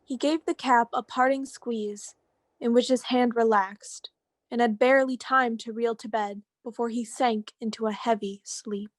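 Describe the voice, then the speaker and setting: A woman's voice: on the high side, fairly even intonation, measured pace, dry recording, close to an everyday voice. A teenage girl giving scripted storybook narration for a radio play. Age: teens.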